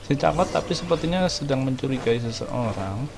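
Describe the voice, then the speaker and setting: A man's voice: medium pitch, lively intonation, fast talker, creaky. A man with an Indonesian accent giving casual, slangy gameplay commentary as a streamer. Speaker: game streamer. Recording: noisy game stream, game audio underneath. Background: video game sounds.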